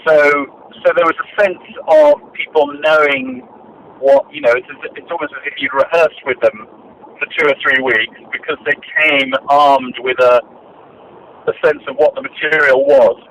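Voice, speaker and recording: An older man, a director answering interview questions in a measured, hesitant way with many fillers and restarts. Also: on the high side, animated, a bit bright. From Britain. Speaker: film and radio drama director, guest. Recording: dull lo-fi archive recording, faint noise.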